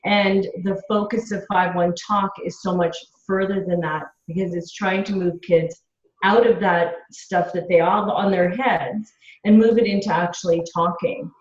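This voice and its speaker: A woman's voice: fairly low pitch, steady tone, a little slow. A Canadian woman teacher chatting eagerly during an online seminar over a video call. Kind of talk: casual chat in an online seminar. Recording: echoey dull video call audio.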